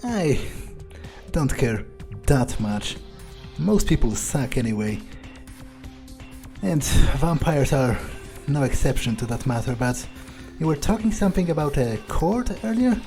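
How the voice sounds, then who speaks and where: A dark, mellow voice over faint music belongs to an aloof vampire loner, improvised by a male gamer. He is cynical and nonchalant, talking casually and hesitantly at medium pitch. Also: big pitch swings, measured pace. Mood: indifferent. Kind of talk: improvised in-character video game roleplay.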